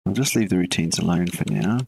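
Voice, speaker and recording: Low gravelly man coding live. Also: fast talker, a little hiss or hum. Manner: relaxed, mutters while working, chats with viewers between code. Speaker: game developer streaming live. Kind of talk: live coding stream, thinking aloud.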